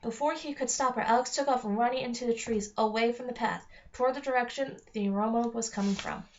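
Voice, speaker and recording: A woman with a fairly high voice reads a children's story as narrator. She now and then trips on a word. The recording is dull and lo-fi. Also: fast. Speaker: narrator reading a novel aloud.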